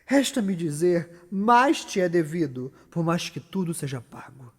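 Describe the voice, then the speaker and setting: A majestic, grateful king of classical theatre, acted by a man with a Brazilian accent. The voice is pitched high for a man and rings through a long reverb. He proclaims to his nobles with grand, effusive warmth. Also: big pitch swings, measured pace, slightly rough, heavily acted. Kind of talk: theatrical Shakespearean acting. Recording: reverberant room with long echo.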